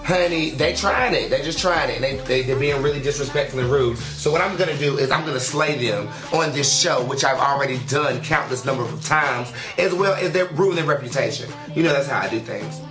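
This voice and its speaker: A man's voice: medium pitch, fairly quick, hardly any pauses. Sassy man hosting radio. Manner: sassy, theatrical, sing-song, calls people honey. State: slightly raspy from illness. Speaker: radio show host. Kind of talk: flamboyant talk radio monologue. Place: radio studio. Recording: echoey room with music behind.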